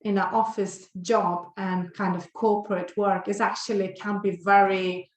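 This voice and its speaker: A woman's voice: medium pitch, flat delivery, a little slow, echoey room. A woman in her forties from Lithuania, a guest on a podcast interview, talking with many fillers and restarts. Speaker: guest, health and well-being coach.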